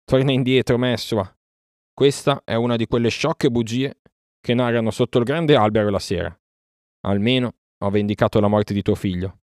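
A man's voice: medium pitch, steady tone, moderate pace, darkish tone, dry recording, studio quality, pitched above the performer's own voice, close to an everyday voice. A man voicing a proud, bold boy raised in the jungle, speaking emphatically in a storybook reading. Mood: scornful, defiant. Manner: emphatic, declamatory.

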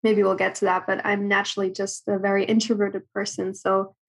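Young woman from Germany as a guest, flat delivery, soft and hesitant. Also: mid-range voice, a little fast, vocal fry, poor audio. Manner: soft-spoken with many ums. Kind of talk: casual interview chat.

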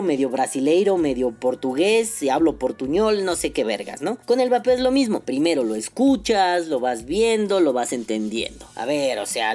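A woman's voice: deep voice, darkish tone. A woman with a Mexico City Spanish accent hosting a podcast in a casual, profane, joking, rambling monologue. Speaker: podcast host.

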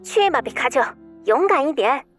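A woman's voice: sits high, animated, moderate pace. An actress playing a playful, outspoken young woman, a teasing and encouraging best friend in an audio drama. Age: young adult. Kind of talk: audio drama phone conversation. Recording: faint music bed behind the voice.

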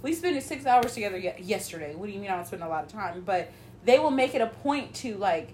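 A woman's voice: mid-range voice, expressive, fast, a little mellow. Woman about 30 just chatting, says like a lot, faint hiss behind. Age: 30s. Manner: chatty, lots of filler "like". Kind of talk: casual chat.

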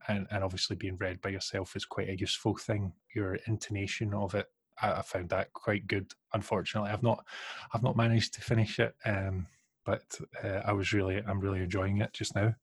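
Hesitant deep-voiced podcast host. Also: male voice, breathy edge, no echo. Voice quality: unusually deep voice from a call glitch. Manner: hesitant, many fillers and restarts. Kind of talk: podcast intro and interview questions. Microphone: video call.

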